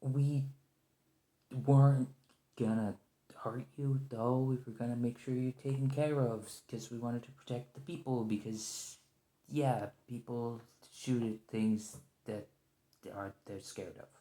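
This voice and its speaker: Man acting a halting, nervous agent, roomy echo. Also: medium pitch, fairly even intonation, natural voice. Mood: apologetic, explaining. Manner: hesitant, halting, stammering, soft-spoken. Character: nervous, conciliatory special agent trapped by an anomalous creature. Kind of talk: ASMR roleplay, one-sided point-of-view dialogue.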